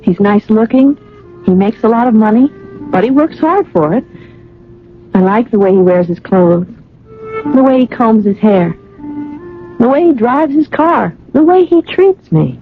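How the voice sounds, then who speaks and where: A woman's voice: a little high, animated, unhurried, long pauses. An actress playing a wistful, searching young woman of twenty-two in a radio drama, with a hesitant, thoughtful delivery. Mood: dreamy, admiring. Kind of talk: old radio drama acting. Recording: narrow, tinny old radio sound, music behind.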